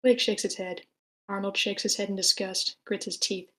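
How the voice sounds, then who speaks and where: A woman's voice: mid-range voice, flat delivery, moderate pace, a bit bright. Female narrator, middle register, scripted screenplay narration for a table read. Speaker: narrator reading stage directions.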